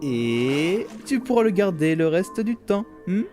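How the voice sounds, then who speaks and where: A man's voice: high voice, big pitch swings, unhurried. A man voicing a pompous, lustful aristocratic demon in a cartoon dub, florid and suggestive in his phrasing. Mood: lustful excitement. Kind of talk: animated series dub acting. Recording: loud music behind the voice.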